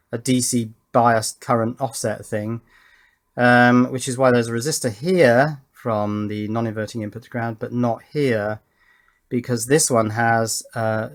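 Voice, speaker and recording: A middle-aged man with a mid-range voice. He talks like a hobbyist hosting an electronics video, casual and unscripted. His delivery is thoughtful and matter-of-fact, wandering easily between instruction and reminiscence. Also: medium pitch. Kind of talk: casual chatty tutorial narration with reminiscence.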